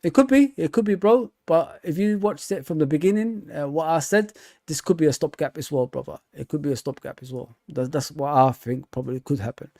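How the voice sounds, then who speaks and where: Excitable man hosting a livestream. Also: on the high side, brisk, dry recording, clean studio sound. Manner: excitable, laughs often, reads viewer comments aloud. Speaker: host of a fan livestream. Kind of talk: casual livestream chat.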